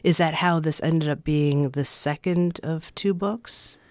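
A woman's voice: sits low, slow, darkish tone, no echo, clean studio sound. An American woman hosting a radio segment, reading scripted introductions and putting questions to a guest. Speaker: radio interviewer and host.

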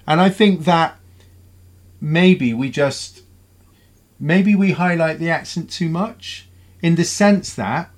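A man hosting a radio panel, his voice sitting a touch higher than most men's. He talks briskly and keeps firing questions at his guests. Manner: brisk, questioning, steers the talk. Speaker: radio presenter.

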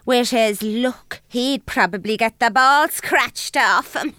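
Bawdy, domineering Dublin mother, high clear voice. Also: slow, bright, forceful tone, dry recording, studio quality. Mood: mocking. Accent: Irish, Dublin. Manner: brash, mocking, crude. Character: bawdy, scornful, domineering older Irish mother. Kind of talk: comedy audio drama acting.

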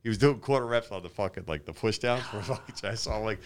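A gruff man in his fifties with a fairly low voice rants about his gym. He talks bluntly and profanely, and he circles back to the same complaint again and again. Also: fast talker. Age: 50s. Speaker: gym owner and podcast regular. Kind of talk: casual podcast chat.

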